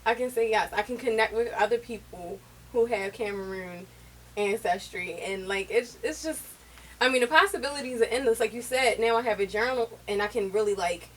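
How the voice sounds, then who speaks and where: A woman's voice: fairly high pitch, brisk, a bit bright, far from the mic, poor audio. Tearful woman speaking from off-mic. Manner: emotional, speaks in short affirmations. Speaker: beauty store co-owner. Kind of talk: casual chat.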